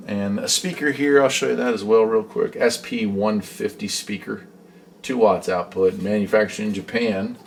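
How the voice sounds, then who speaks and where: A man's voice: on the low side, steady tone, normal speed, gravelly, noisy background. A middle-aged American man hosting a casual vlog as a hobbyist, talking in a folksy, rambling, conversational way. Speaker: host, radio hobbyist. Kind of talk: casual product showcase vlog.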